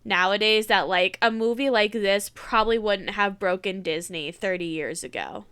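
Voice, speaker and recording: A woman's voice: mid-range voice, normal speed, fairly bright tone, clean tone. A woman hosting a reaction video in a casual, blunt, chatty way, congested with a cold.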